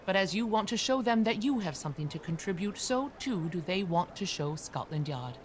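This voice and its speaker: An actress playing a kindly, motherly landlady in an audio drama, gentle and encouraging. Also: mid-range voice, very animated, fairly quick, slight background noise, natural voice. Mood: gentle, reassuring. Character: Mrs. Hudson, kindly, motherly, reassuring landlady.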